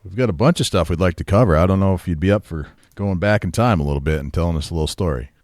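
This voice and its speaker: He is an eager podcast host who keeps the chat going, with a very deep voice and a dry, close sound. He nods along and agrees often. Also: very fast, a little mellow, dry recording. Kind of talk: casual podcast interview.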